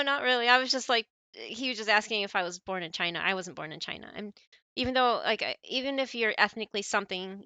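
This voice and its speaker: Bright-voiced, animated woman chatting on stream. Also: mid-range voice, no room sound. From born in Malaysia, family brought to the US. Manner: animated, giggly, chatty, playful. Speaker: livestream host. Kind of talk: casual chat to livestream viewers while gaming.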